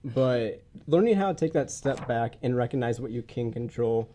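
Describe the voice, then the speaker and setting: Young man, podcast host, congested head-cold sound, mellow tone, informal rambling delivery. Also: medium pitch, lively intonation, moderate pace, a little hiss or hum. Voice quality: congested, stuffy nose. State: getting over a head cold. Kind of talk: casual podcast introduction and interview. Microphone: podcast studio mic, wearing face mask or shield.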